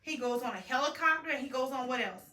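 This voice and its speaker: A woman telling a story to a young child as a mother, animated, prompting with questions and repeating the answers. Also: sits high, fast talker. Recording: roomy, distant home recording with faint noise.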